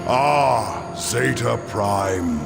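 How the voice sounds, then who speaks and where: Gravelly robot warlord, slow and pompous, sneering menace for a video game, over loud music. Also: male voice, fairly low pitch, animated, exaggerated voice. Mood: mocking menace. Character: imperious, contemptuous robot warlord commanding his troops. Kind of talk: dramatic video game voice acting.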